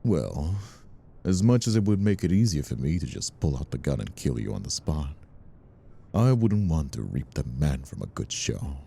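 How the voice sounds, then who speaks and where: An actor playing a vicious, bitter mob rival in an audio drama, mocking and theatrical in his drawn-out menace. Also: extremely low pitch, big pitch swings, gravelly, dark tone, husky, heavily acted. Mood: smug menace. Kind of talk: audio roleplay drama acting.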